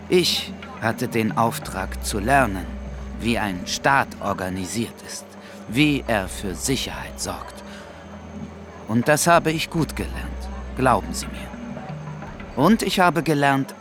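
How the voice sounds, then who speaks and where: An actor playing a formal, courteous Congolese state security official with veiled menace in a radio play. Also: male voice, medium pitch. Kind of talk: radio play dialogue and recollection.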